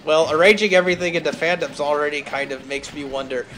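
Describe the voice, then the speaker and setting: A somewhat high-voiced man hosts a casual stream over faint background noise. He rambles from one aside to another, dropping academic words into loose chat. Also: flat delivery, quick pace, fairly bright tone, low-quality recording. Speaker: streamer and host.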